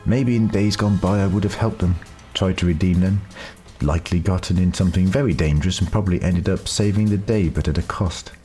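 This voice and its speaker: A low-pitched, world-weary alien doctor, as a male narrator plays him, speaks with wry reflection. He is a retired time traveller in an audio story, with music behind him. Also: brisk, mellow, natural voice. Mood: resigned.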